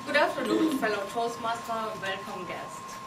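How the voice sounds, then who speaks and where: A woman's voice: fairly high pitch, expressive, normal speed, over a noisy crowd. German-accented woman giving a comic speech. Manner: playful, teasing, non-native phrasing. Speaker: contest speaker. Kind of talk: humorous contest speech. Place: meeting hall with audience. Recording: echoey hall from far off, noisy.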